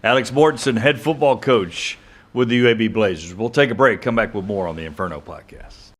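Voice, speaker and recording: Low-pitched American sports host. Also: male voice, brisk. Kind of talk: sports radio interview and hosting.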